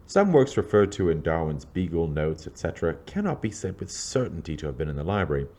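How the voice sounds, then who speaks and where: A man reading scripted narration aloud in a measured, scholarly, documentary-like way. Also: deep voice, warm tone.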